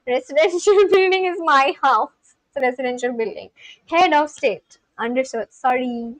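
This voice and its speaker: A young woman from India speaks in a Delhi-accented English, her voice sitting high and bright in pitch. She talks casually and chattily to her livestream audience, reading their comments aloud and tossing in quick apologies. The sound is dry, with no room echo. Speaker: live streamer. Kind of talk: casual livestream chat with viewers.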